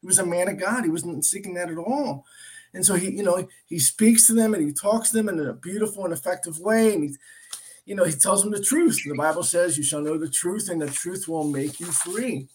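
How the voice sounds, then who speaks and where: A middle-aged American man with a fairly high voice gives an informal Bible teaching. He tells stories earnestly and conversationally, often repeating a phrase to drive it home. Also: a little fast, slightly rough, poor audio. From Philadelphia area, United States. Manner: earnest, animated storytelling with repeated phrases for emphasis. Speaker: preacher.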